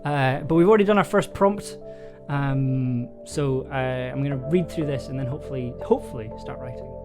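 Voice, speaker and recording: A man's voice: medium pitch, normal speed. A man livestreaming in a casual, hesitant way, thinking aloud and reading from his own story draft. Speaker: streamer and audio drama writer. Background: chill soundtrack music.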